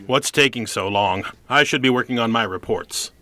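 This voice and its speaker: An actor playing an impatient, self-important radio news reporter in an audio drama, speaking in a precise, pedantic way. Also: male voice, medium pitch, moderate pace, bright, forceful tone, faint music behind, natural voice. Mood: impatient, defensive. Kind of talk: audio theater acting.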